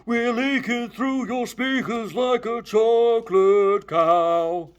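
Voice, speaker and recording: A man voicing loud, showy characters from a comic children's story, exclaiming in a drawn-out, theatrical way. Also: very high voice, clean tone, muffled recording, pitched above the performer's own voice. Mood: loud outburst.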